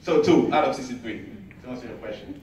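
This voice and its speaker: A man's voice: medium pitch, darkish tone. Man answering questions in an echoey room. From Ivory Coast. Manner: halting, many fillers and restarts, laughs easily. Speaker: student presenter answering audience questions. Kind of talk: Q&A after a presentation. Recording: echoey room, far from the mic, faint noise.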